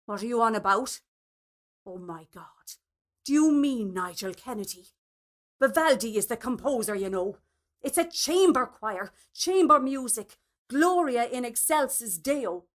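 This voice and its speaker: A woman narrator acting a cultured choir-singing mother from a storybook. She is cool, scornful and pointedly incredulous, with lively movement in her pitch. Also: unhurried.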